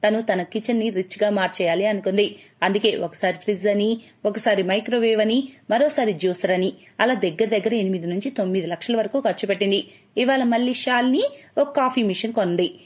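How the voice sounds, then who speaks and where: A woman storyteller reads a scripted moral tale. Her pitch sits in a middle range for a woman, and she delivers the story as narration. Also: brisk, a little mellow.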